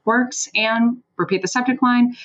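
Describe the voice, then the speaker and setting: A woman originally from Switzerland, a sales consultant guest giving emphatic, animated advice in an interview, with some casual swearing. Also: fairly high pitch, a little slow, lo-fi sound.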